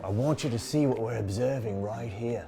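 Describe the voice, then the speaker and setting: A man voicing a pompous nature-documentary narrator in a fake English accent, with a hushed, grand delivery. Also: mid-range voice, normal speed, over a noisy crowd, close to an everyday voice. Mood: awed. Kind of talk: mock wildlife documentary narration.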